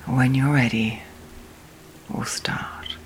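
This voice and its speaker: A woman leads a guided sleep meditation in a soft, breathy, near-whispered voice, soothing, with long pauses between phrases. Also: extremely low pitch. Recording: steady storm and rain noise. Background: rain and storm.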